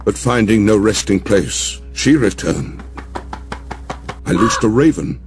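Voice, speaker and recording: A male narrator reads a dramatized epic in a solemn, theatrical manner. His pace is measured and his pitch swings widely, with music clearly heard behind him. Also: lively intonation. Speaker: audiobook narrator.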